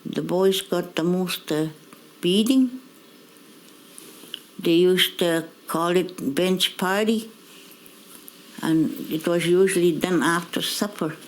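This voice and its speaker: A woman in her seventies giving interview testimony in a halting way, with pauses and fillers. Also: on the low side, rough recording. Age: 70s. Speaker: residential school survivor.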